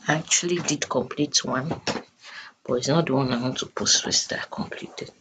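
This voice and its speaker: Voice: mid-range voice, steady tone, moderate pace, noisy background. A person with a Nigerian accent chatting casually in a voice note, informal and slipping into Pidgin. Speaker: storytelling channel creator.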